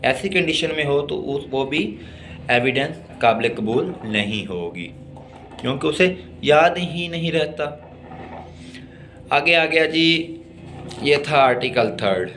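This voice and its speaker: A man with a Pakistani accent lecturing on law, deliberately reading out text and explaining it in a teacherly way. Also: fairly high pitch, lively intonation, fairly bright tone, a little hiss or hum. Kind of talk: exam-oriented law lesson, reading English text and explaining it.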